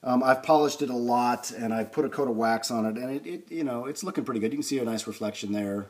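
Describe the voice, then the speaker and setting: A man's voice: mid-range voice, fast talker, a little mellow. A man giving a casual, unscripted hands-on repair demonstration, thinking aloud as he works. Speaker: host of a vintage record player restoration video series.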